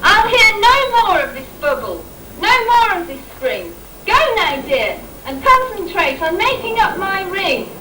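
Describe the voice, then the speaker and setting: A woman's voice: very high-pitched, very animated, measured pace, exaggerated voice. A woman playing a scolding, practical wife in an amateur rhyming stage pantomime, in a declamatory theatrical manner. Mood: exasperated. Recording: echoey stage recording, distant, very noisy.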